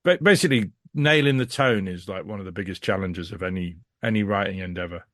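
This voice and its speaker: A man's voice: low-pitched, fast talker, fairly bright tone, no echo, clean studio sound. A man giving a casual podcast review as a guest, speaking in a rambling, emphatic, frank way.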